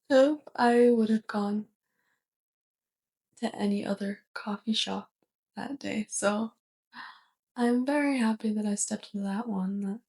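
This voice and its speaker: A woman playing a sweet, curious, awkward alien roommate with a crush, speaking gently and haltingly in a soft ASMR roleplay. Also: medium pitch. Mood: shy, grateful. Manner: gentle, hesitant, halting delivery.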